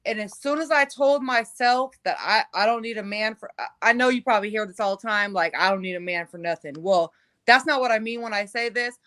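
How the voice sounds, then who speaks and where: A woman's voice: fairly high pitch, a little fast, fairly bright tone, dry recording. A woman in her forties telling her personal story as an interview guest, conversational, emphatic and self-assured. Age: 40s.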